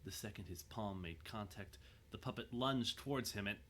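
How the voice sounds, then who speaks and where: A man with a low voice narrates an audio drama. He reads scripted, dramatic prose as the storyteller. There is faint noise behind him. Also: moderate pace, a bit bright.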